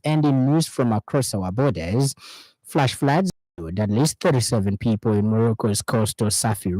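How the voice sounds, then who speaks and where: A man with a Zambian accent reading a scripted radio news bulletin in a formal, measured way. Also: mid-range voice, mellow, no room sound, poor audio. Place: radio studio.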